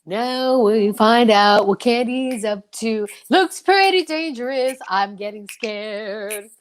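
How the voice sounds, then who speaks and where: A woman playing a dramatic, teasing 1950s radio mystery announcer with drawn-out theatrical phrasing, in an amateur reading. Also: on the high side. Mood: suspenseful. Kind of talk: old time radio announcing, read by amateurs.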